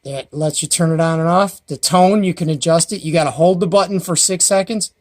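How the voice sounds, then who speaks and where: A man's voice: a little high, fairly quick. Folksy, enthusiastic man reviewing gear. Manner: enthusiastic, folksy, rambling with fillers. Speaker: host of a CB radio review channel. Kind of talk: casual product review and unboxing. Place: at home, filming handheld by himself.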